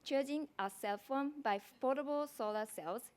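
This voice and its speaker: A woman's voice: high voice, normal speed. A young woman with a strong Japanese accent giving a prepared thesis presentation, speaking carefully with frequent restarts. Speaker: design graduate student presenting a thesis.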